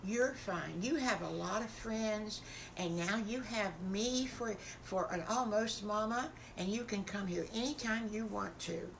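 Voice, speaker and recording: A kindly storybook mother pig, voiced by a woman. She is reassuring and polite, with a slow, soothing delivery. Faint noise sits behind her. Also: mid-range voice, gravelly. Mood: comforting.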